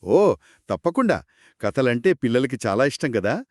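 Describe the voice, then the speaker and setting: A man telling a children's story in a conversational, expressive and explanatory way, as one of two narrators. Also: mid-range voice, normal speed, no echo, clean studio sound. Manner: expressive, explanatory, acts out characters' quoted lines.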